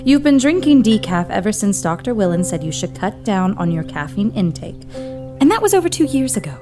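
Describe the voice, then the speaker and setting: A woman's voice: mid-range voice, sing-song, brisk, close to an everyday voice. A woman playing a playful, caring live-in assistant who teases her elderly employer. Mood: teasing. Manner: teasing, playful. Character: young American woman assistant, teasing and caring. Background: music.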